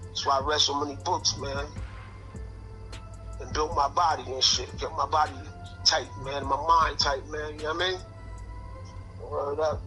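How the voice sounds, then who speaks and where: A man's voice: a little high, fairly even intonation, moderate pace, long pauses, bright, forceful tone, faint music behind. Streetwise man in his late fifties. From Connecticut. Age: 50s. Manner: streetwise, fillers "man" and "son" constantly. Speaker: interview guest. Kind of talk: casual prison storytelling.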